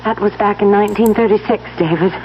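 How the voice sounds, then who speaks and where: A woman's voice: mid-range voice, steady tone, fast talker, close to an everyday voice. An actress playing a tender, wistful woman in an old-time radio drama, speaking earnestly and with feeling. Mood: wistful, fond. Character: tender, wistful woman mourning her beloved step-grandfather. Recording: muffled vintage radio recording, faint hiss.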